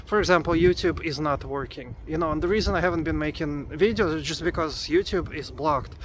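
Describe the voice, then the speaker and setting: A man's voice: fairly high pitch, slight background noise. Rambling middle-aged man filming outdoors. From Russia. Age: 40s. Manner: rambling, halting, many fillers. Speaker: vlogger. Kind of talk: casual vlog update. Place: outdoors in a city among tall buildings, windy.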